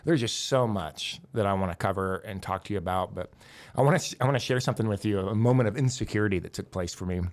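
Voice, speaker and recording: A man's voice: on the low side, fast. A man hosting a podcast interview, talking earnestly and poking fun at himself, with fillers and restarts. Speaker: podcast host and county commissioner.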